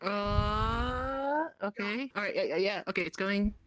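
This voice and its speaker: A woman's voice: mid-range voice, a little slow, a bit bright, no room sound. A woman chatting playfully in a role-play game, often repeating short phrases. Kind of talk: casual reactive role-play chat. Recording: music playing behind the voice. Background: music.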